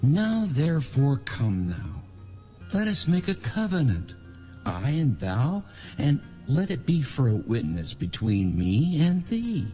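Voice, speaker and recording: An actor playing a stern, possessive patriarch in a dramatized scripture reading, solemn and declamatory. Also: male voice, medium pitch, wildly swooping pitch, slow, dark tone, soft background music. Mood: possessive, resigned. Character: stern, possessive patriarch, a father-in-law accusing and warning.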